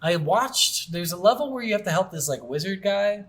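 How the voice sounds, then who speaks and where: A man's voice: sits high, animated, normal speed, a bit bright. A man co-hosting a video game podcast, chatting casually and bluntly through a review.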